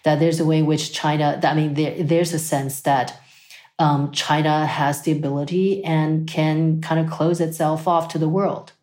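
A woman's voice: low voice, level tone, moderate pace, a little mellow. A woman from Taiwan, a guest expert, answering interview questions thoughtfully, often checking in with "right?" and "you know".

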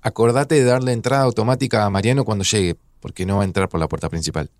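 A loving Argentine father talks casually and tenderly, played by a man. His voice has a gravelly edge. The sound is dry and close. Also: fairly low pitch, quick pace, no room sound, close to an everyday voice. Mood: warm. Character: affectionate father in his home.

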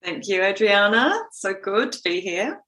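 A woman with an Australian accent, a therapist speaking as a podcast guest, relaxed and persuasive, laughing easily. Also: mid-range voice, a little slow, low-quality recording. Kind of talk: casual podcast interview.

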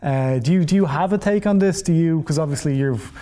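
An Irish man interviewing conversationally as a podcast host, rephrasing his questions haltingly as he goes. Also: on the high side, mellow.

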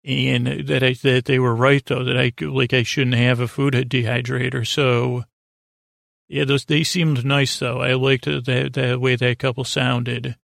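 Rambling, gravelly-edged male podcast host. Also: mid-range voice, little pitch movement, a bit bright, no echo, clean studio sound. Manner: meandering, halting, digressive, self-deprecating. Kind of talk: rambling, whimsical bedtime podcast monologue.

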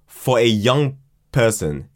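A man chatting casually as a podcast guest, halting and often repeating words as he explains his ideas. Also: medium pitch, sing-song. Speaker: podcast guest, writer and creator of a fiction podcast. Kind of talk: casual interview chat.

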